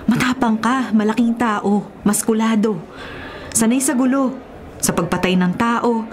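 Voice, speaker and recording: Filipino radio drama: female actor for loyal prisoner's girlfriend, fairly high voice, frank, noisy background. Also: measured pace, close to an everyday voice. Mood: concerned. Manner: frank, cautioning. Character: tough convict's loyal, cautioning live-in girlfriend. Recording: noticeable background noise.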